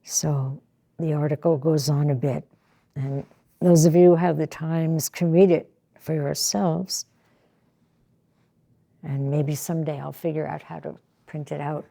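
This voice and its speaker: A woman giving a Zen dharma talk, who reads a passage aloud and then comments on it in a measured, contemplative way. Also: deep voice, fairly even intonation, vocal fry, warm tone. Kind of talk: reading aloud and commenting in a sermon.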